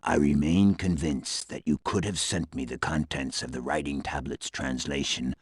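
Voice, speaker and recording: A suave, menacing male villain from a video game. His voice is extremely deep and heavily rasping, and he speaks with cold, condescending formality. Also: steady tone, moderate pace, no room sound, clean studio sound, heavily acted. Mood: coldly polite. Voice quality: very deep, husky rasp.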